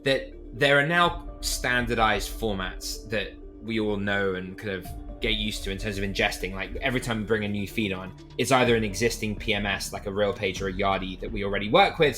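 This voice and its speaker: A British man with a lowish, bright and forceful voice, speaking as an interview guest. He explains things candidly and at length, dotting his speech with casual fillers. It sounds like a relaxed podcast conversation. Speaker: podcast guest, startup CEO.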